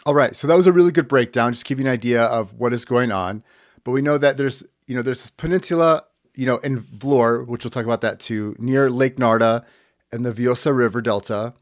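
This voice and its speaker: A man's voice: mid-range voice, brisk, no room sound, studio quality. Angry, profane man hosting a podcast. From United States. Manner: angry, blunt, swears freely. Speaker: podcast host. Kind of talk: impassioned political commentary.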